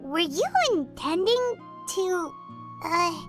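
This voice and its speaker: A woman voicing a small, excitable, curious fairy girl with a squeaky, childlike way of talking in a video game. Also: slow, over music, exaggerated voice. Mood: hesitant, concerned. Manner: refers to self in third person, squeaky exclamations.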